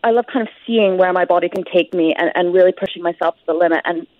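A woman's voice: lowish voice, quick pace, narrow, tinny audio. A woman giving detailed, reflective answers as a guest author in a conversational interview.

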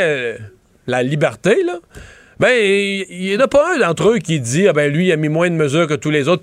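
Middle-aged Québécois man, political commentator, rambling talk, slightly high voice, dry close sound. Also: no room sound. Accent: Québécois French. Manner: rambling, animated, restarts mid-sentence. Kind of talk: casual political analysis chat.

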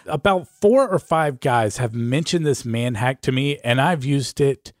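A man co-hosts a podcast, talking informally and explaining in plain, everyday phrases. His pitch is middling for a man, and the sound is dry, without much room in it. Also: a little slow, no room sound. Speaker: podcast co-host. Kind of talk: casual conversational podcast talk.

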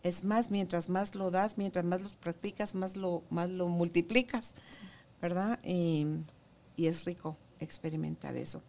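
A woman hosts a relaxed conversation. Her voice is low and gravelly, with a dark, mellow tone, and she chimes in with quick agreements. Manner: warm affirming, many short agreements. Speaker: host. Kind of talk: conversational interview.

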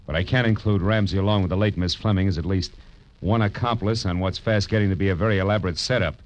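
An actor playing a wisecracking hard-boiled private detective in a 1940s radio drama, clipped, sardonic and slangy. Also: male voice, low-pitched, fast talker, lightly acted. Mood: brisk, pressing. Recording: muffled vintage radio recording, music behind.

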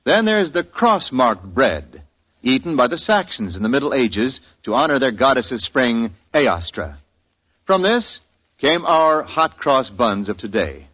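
A vintage radio announcer with a mid-pitched, animated voice, reading formal copy in a measured way. The recording has the dull sound of an old broadcast. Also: male voice.

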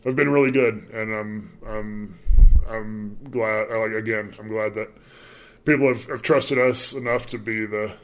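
A man's voice: fairly low pitch, fairly even intonation, a little slow, a bit bright, a little hiss or hum. A young man with a mild Southern accent, chatting loosely on a podcast with many fillers and restarts. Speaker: departing sports reporter. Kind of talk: casual podcast chat.

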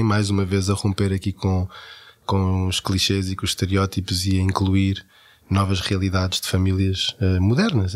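Low-pitched Portuguese man, dry recording. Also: dry recording. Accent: European Portuguese. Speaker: voice actor being interviewed. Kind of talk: casual interview talk.

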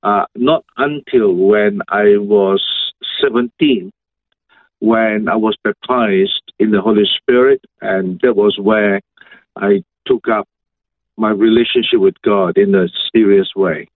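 A middle-aged man with a Malaysian accent, a pastor answering interview questions in halting, measured phrases over a video call. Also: on the low side, dry recording. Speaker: senior pastor, interview guest. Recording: thin phone-like video call audio.

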